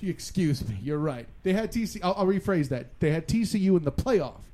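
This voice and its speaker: A man co-hosting a casual sports talk show, animated and opinionated, with a joking streak. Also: high-pitched, fairly quick, slight background noise.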